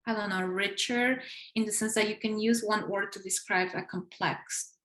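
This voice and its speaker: A woman's voice: mid-range voice, fairly even intonation, moderate pace, a bit bright, roomy sound. A young woman from Ecuador with a light Spanish accent, chatting as an interview guest, laughing often and restarting her sentences. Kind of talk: casual interview chat.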